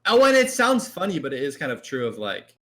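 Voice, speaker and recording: A man guesting as a team shot caller, talking loudly in a rambling, filler-heavy casual way. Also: fairly high pitch, huge pitch swings, fairly quick. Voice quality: loud voice. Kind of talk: casual panel chat.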